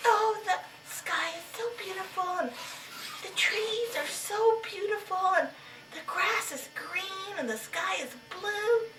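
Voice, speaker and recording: A woman's voice: extremely high pitch, slow, music in the background. A woman voicing a sweet, nervous but plucky little old lady in a homemade puppet show, fussing and repeating herself. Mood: chirpy, brave. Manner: exclamatory, repeats herself, fussy. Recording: echoey room, distant mic, faint noise.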